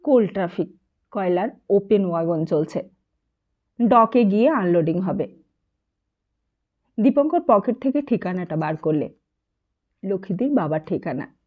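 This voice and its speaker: A woman reads a literary novel as an expressive audiobook storyteller, carrying the dialogue within her narration. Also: mid-range voice, unhurried, pauses often. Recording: muffled, narrow phone-like sound.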